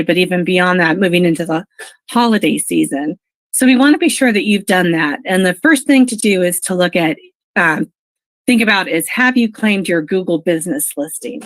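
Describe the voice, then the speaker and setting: Woman doing a webinar, low croaky allergy voice, dry close sound, encouraging and instructive. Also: a bit bright, no room sound. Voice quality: froggy, gravelly. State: froggy from allergies, clearing throat. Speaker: webinar presenter. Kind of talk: informational webinar presentation.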